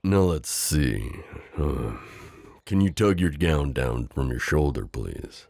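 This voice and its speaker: Very deep, gravelly knight voiced by a man. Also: animated, takes it slowly, darkish tone, no echo, heavily acted. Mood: tender, concerned. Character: loyal, teasing, overprotective male knight hiding a princess. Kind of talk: improvised romantic audio roleplay, addressing the listener.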